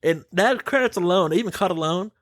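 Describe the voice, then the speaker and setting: Male podcast host, somewhat high pitch, dry sound, casual chat, emphatic, opinionated, loose swearing. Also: expressive, a little fast, fairly bright tone, no echo.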